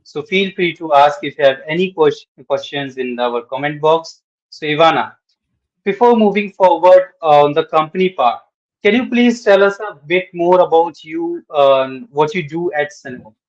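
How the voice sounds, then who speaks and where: A man welcomes the audience and his guest in a polite, formal manner, as if he were partly reading a script. His voice sits a little high for a man. It reaches the listener over a video call with a muffled, lo-fi sound. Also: normal speed. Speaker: webinar host. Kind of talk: formal introduction.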